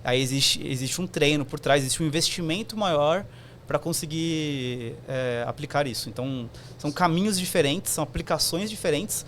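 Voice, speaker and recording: A man's voice: on the high side, normal speed, fairly bright tone, a little hiss or hum. A man, a podcast guest explaining technical concepts conversationally, with many fillers.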